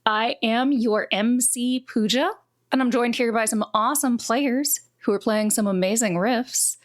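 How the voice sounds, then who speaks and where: A woman's voice: on the high side, measured pace. A woman narrating a tabletop game as its game master in a conversational, folksy way.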